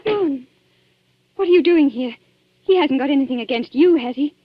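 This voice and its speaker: A high, dramatically swinging voice for a cornered heroine of a 1940s radio thriller. She is tense, bitter and defiant, heard on a muffled old recording. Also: animated, normal speed, no echo. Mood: anxious. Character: tense, defiant young woman being blackmailed.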